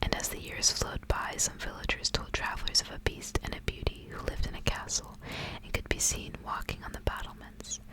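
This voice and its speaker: A woman whispering softly and gently in a hushed ASMR style, as a host who reads stories aloud. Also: a little fast, low-quality recording. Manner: soft, hushed, gentle delivery.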